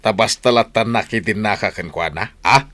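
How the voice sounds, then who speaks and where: A man's voice: fairly low pitch, moderate pace, fairly bright tone, lightly acted. An actor playing a stern father who lectures his grown daughter reproachfully in a radio drama.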